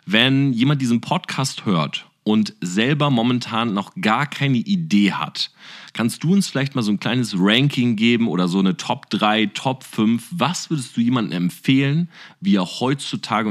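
Interviewer, man, lowish voice, talkative and probing. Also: expressive, normal speed. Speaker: guest interviewer, entrepreneur. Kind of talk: casual podcast interview.

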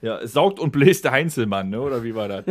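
A man's voice: medium pitch, lively intonation, quick pace. A man co-hosting a podcast in casual, joking banter. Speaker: podcast co-host.

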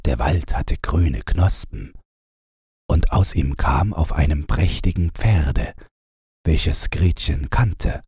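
A man narrating a fairy tale as a bedtime audiobook, in a gentle, soothing and deliberate storytelling style. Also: rumbling low, animated, breathy edge, no echo, studio quality.

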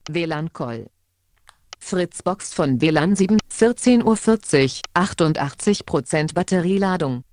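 A man's voice: a little high, animated, measured pace, darkish tone. A synthetic male screen reader voice announcing menu items in short, clipped phrases.

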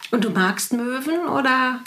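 A woman's voice: sits high, expressive, a little slow, sharp tone. A woman hosting a podcast, chatting casually and playfully with anecdotes and teasing questions. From island of Juist, Germany. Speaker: podcast host. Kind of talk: casual conversational interview.

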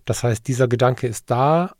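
Earnest German podcast host, dry sound. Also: male voice, medium pitch, normal speed, a little mellow, no echo. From Germany, Ruhr area region. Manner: reflective, earnest storytelling. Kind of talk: casual conversation.

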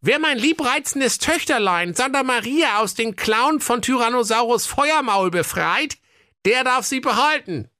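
A man voicing a bumbling, pompous old king who talks in a squeaky, flustered way with lots of ums and ahs. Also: expressive, unhurried, sharp tone, no echo, studio quality, exaggerated voice. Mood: proclaiming.

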